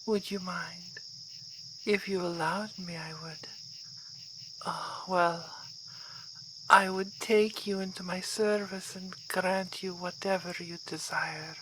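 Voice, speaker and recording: Vampire baroness, played by a woman: lowish, very slow, refined, hungry schemer, ASMR drama, crickets clearly behind. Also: steady tone. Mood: courteous, seductive. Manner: formal, refined, languid. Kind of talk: ASMR roleplay acting. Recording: clear night insect noise behind voice.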